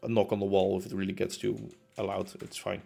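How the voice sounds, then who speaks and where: A man's voice: low voice, completely flat delivery, fairly quick, gravelly, dark tone, soft background music. A young man streaming from his apartment as he builds in a game, chatting casually and rambling with lots of fillers and restarts. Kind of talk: casual chat while building. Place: home room in a concrete apartment.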